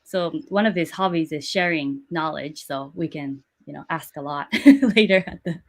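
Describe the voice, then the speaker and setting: A woman's voice: low voice, moderate pace. A woman working in HR who moderates an online panel, introducing speakers with a friendly, filler-heavy manner.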